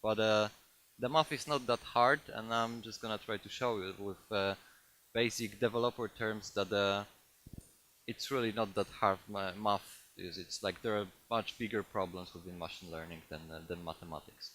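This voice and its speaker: A man with a Polish accent giving a technical talk to a live audience, halting often with fillers and restarts. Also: lowish voice, steady tone, a bit bright, slight background noise. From Poland. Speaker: conference speaker.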